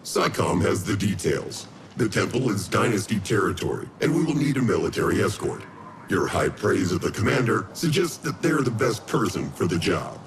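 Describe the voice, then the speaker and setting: A man's voice: on the low side, very animated, moderate pace, noisy background. An actor playing a formal, authoritative male director. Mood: stern.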